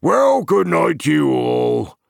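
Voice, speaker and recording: A gruff, hearty old farmer of a storybook, voiced by a man raising his pitch well above his own. He talks in a broad rustic country dialect, loud and plain-spoken. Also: sing-song, slow pace, dry recording, clean studio sound, full character voice.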